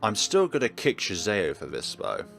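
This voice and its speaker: A man voicing a blunt, exasperated high school girl in a visual novel, with a scolding, emphatic manner. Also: huge pitch swings, moderate pace, vocal fry, a bit bright, faint music behind, full character voice. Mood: teasing, grudging.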